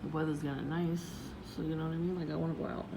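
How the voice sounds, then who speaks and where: New lady chatting low into her phone, rambly, lots of like and you know, noisy recording. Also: little pitch movement, racing. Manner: chatty, meandering, frequent fillers and restarts. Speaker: vlogger. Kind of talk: casual rambling vlog. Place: outdoors, windy.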